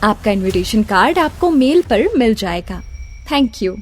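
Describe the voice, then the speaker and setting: A woman's voice: a little high, very animated, unhurried. A woman playing a polite, businesslike corporate secretary in an audio drama, formal and composed. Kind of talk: Hindi audio drama acting. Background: background music.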